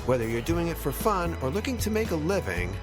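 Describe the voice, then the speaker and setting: A man's voice: a little high, sing-song, brisk, over music. A man of fifty hosting a podcast in a casual, conversational style, talking directly to his listeners as he introduces things. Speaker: podcast host.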